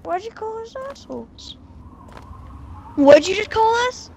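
A woman's voice: very high-pitched, expressive, unhurried, creaky, noisy background. A woman bantering on a game stream, teasing and scolding her friends. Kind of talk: casual gaming banter.

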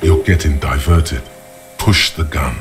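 An actor voicing the brooding inner voice of a detective's mind, narrating a video game in an ominous, deliberate way. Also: male voice, rumbling low, faint music behind. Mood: urging. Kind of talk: scripted second-person game narration.

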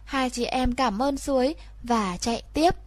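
High-pitched woman reading a fairy tale. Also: a little slow. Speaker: storyteller. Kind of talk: scripted children's fairy tale narration.

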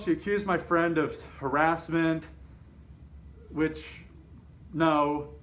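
A man telling a personal story in a casual, rambling way, hesitant and often correcting himself. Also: fairly high pitch. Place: open living room with kitchen at home. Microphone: camera mic a short way off, speaker inside a fursuit head. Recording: dull, slightly muffled home camera audio.

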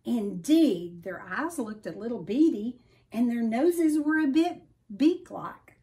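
Middle aged lady reading a picture book to kids, really slow, higher voice, expressive. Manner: deliberate, expressive, dramatic emphasis. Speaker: storybook reader. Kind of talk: children's read-aloud. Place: small furnished room at home.

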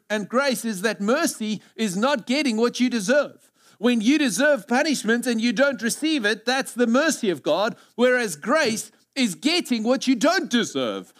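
South African pastor with a high voice. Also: male voice. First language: Afrikaans. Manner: earnest, gesturing broadly, swings from loud emphasis to hushed. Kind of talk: sermon. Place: church stage indoors. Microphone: headset mic.

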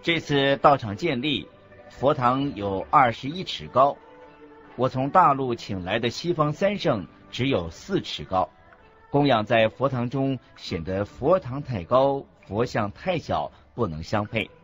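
A man playing a Buddhist monk teacher in a scripted radio lecture, husky, expressive and didactic. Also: mid-range voice, unhurried. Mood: earnest recounting. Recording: dull lo-fi recording with faint music.

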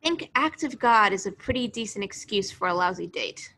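A woman's voice: medium pitch, lively intonation, brisk, sharp tone. A woman reading the part of a cool, blunt young love interest in an amateur table read, dry and casual. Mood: teasing, dry. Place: online group video call.

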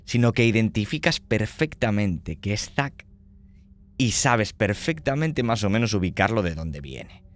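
A man's voice: on the low side, lively intonation, a little slow. A man narrating a tabletop horror game as game master, speaking conversationally and checking in with his players.

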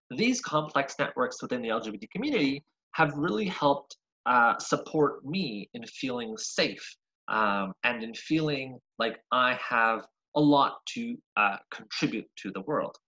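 A young man from Argentina giving an online science seminar over a video call, lively and full of ums and uhs, often restarting words. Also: medium pitch, rough recording. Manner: lively, frequent ums and uhs, self-correcting. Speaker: graduate researcher giving a seminar. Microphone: webcam on a video call.

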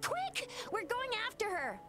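A bright, eager young patrol officer. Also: female voice, very high voice, measured pace, music in the background, exaggerated voice. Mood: upbeat. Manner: lively, eager, chatty. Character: brisk, upbeat young woman patrol officer.

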